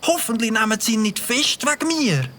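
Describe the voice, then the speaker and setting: A woman's voice: a little high, big pitch swings, fairly quick, a bit bright, slight background noise. A woman with a Swiss German accent voicing a nervous, hesitant young boy in a children's audio drama. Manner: hesitant, stammering.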